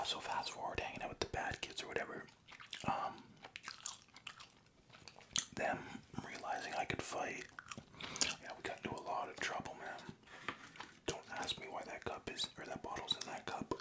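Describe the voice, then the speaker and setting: Softly whispering young man. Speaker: vlogger. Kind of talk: whispered ASMR storytelling.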